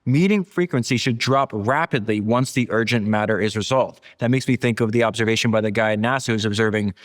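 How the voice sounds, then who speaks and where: A man's voice: lowish voice, fairly quick. A man hosting an interview podcast, discussing a book emphatically and repeating phrases for stress. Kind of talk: animated book discussion, reading quotes aloud and commenting.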